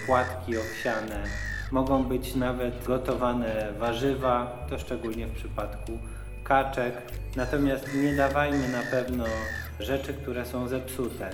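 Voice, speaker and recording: A man gives an informal explanatory talk in a measured way, pausing between phrases. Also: medium pitch. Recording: echoey reverberant space with music behind.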